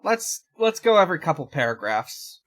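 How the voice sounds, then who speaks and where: A podcast host speaking casually and haltingly, in chat and reading fanfiction aloud. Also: mid-range voice, sing-song, a bit bright. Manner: hesitant, halting.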